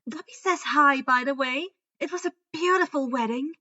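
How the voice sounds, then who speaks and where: A woman's voice: high-pitched, normal speed, bright, forceful tone, dull audio, pitched above the performer's own voice. A woman voicing a dreamy, cheerful fairy girl who talks in an airy, sagely informative way.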